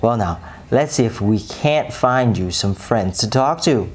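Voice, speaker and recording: A woman voicing a big, strong, kindly storybook gentleman with a hearty, reassuring manner. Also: very deep voice, animated, measured pace, a little hiss or hum.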